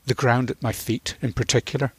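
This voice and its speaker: A man in his sixties from Northern Ireland, reflecting as an interview guest in a thoughtful, halting way, searching for words. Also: medium pitch, level tone, a bit bright, slightly rough, dry recording.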